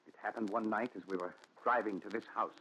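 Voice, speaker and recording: A tormented, bitter husband, played by a man with a lowish voice and an anguished, melodramatic delivery. He sounds straight out of a 1940s radio thriller, on a dull recording with faint noise. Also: steady tone, fast, fairly bright tone, natural voice. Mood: haunted recounting. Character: tormented, regretful, bitter husband trapped in marriage.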